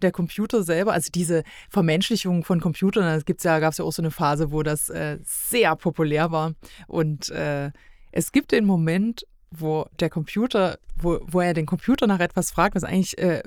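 A woman's voice: deep voice, moderate pace, a little mellow, no room sound, studio quality. A woman talking casually as a podcast guest, giving her opinions in a review chat.

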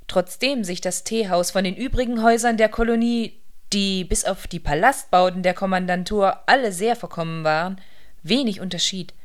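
A woman narrating a literary radio play from a script in a measured, serious manner. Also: medium pitch.

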